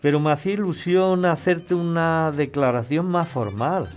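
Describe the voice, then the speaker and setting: An actor plays an older man, devoted and old-fashioned. His voice is a touch high. He speaks earnestly and hesitantly, with music running under the drama. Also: normal speed, a little mellow, close to an everyday voice. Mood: earnest, tender. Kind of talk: podcast radio drama acting, conversational.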